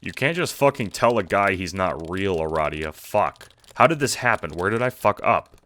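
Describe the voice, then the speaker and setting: A man's voice: low voice, expressive, moderate pace, bright, forceful tone, a little hiss or hum. A man playing a casual, foul-mouthed young time-traveling guy in an audio drama, talking in hesitant slang. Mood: distressed, angry.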